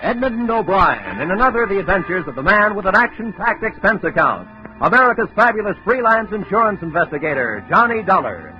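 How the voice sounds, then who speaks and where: High, swooping vintage radio announcer, a man. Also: very animated, a little fast. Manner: emphatic, theatrical. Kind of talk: dramatic 1950s radio announcing. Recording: muffled old radio recording, loud music.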